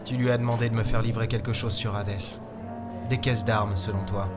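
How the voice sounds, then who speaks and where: A man's voice: on the low side, steady tone, normal speed, lightly acted. A man playing a world-weary, bitter starship captain in an audio drama, speaking in short, weighty phrases. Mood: cold, accusing. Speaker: lead character and narrator. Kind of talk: dramatic audio fiction narration. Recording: music behind the voice.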